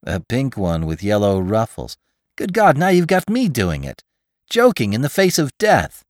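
A man's voice: medium pitch, huge pitch swings, measured pace, dry recording, studio quality. A man voicing a nervous, sarcastic photographer who exclaims and grumbles through danger in a dramatised story. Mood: wry, nervous. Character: nervous, sardonic male photographer in mortal danger. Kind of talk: dramatised audiobook character voice.

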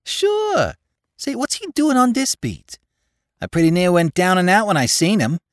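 A cheeky young Bowery crook with a thick New York accent, as a male narrator puts him on, pitched high and pushed loud. He rattles off street slang in excited bursts, his voice leaping up and down. The sound is dry and close. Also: moderate pace, no echo.

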